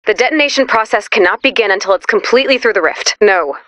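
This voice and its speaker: An actress playing a brisk, blunt woman scientist in a video game, with a dry, matter-of-fact, businesslike delivery. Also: medium pitch, a bit bright, no room sound, lightly acted. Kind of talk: video game dialogue voice lines.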